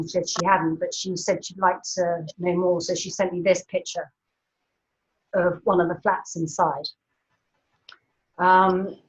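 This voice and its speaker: Woman, 50s, art historian: relaxed slide lecture, somewhat low pitch, muffled online sound. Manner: chatty, many ums, light laughs. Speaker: art historian giving a talk. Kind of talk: informal illustrated lecture over slides.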